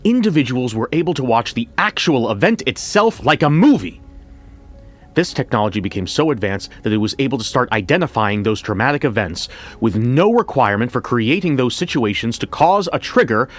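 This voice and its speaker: An actor playing a wry, reflective bank coordinator who tells his story in the first person, in a measured, conversational way. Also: medium pitch, big pitch swings. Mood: explanatory. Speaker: narrator. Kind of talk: first-person scripted audio fiction narration. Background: music.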